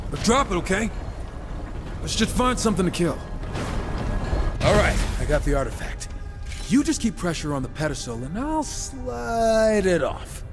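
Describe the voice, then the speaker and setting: Brash, sarcastic game rogue, higher-pitched, very animated delivery, music behind. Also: male voice, moderate pace, pauses often. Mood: evasive, brisk. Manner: sarcastic, teasing. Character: wisecracking, cocky horseman, brash younger-brother gunslinger type. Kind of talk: video game cutscene acting.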